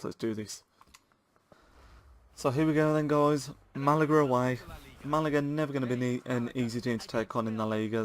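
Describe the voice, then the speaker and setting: A man with a sore throat, giving excitable, chatty commentary to viewers while playing a football video game. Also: mid-range voice, no room sound. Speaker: gaming channel host.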